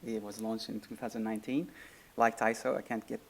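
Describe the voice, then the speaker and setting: A man's voice: on the low side, flat delivery, fairly quick, creaky. A man from Syria with a Syrian Arabic accent, giving an informal, light-hearted technical talk to an audience. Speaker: workshop co-presenter, software engineer.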